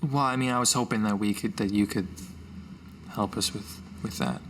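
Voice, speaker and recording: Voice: medium pitch, normal speed. Casual tabletop player, some noise behind. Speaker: player in a tabletop roleplaying game. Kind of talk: casual in-character improvised chat. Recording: noticeable background noise.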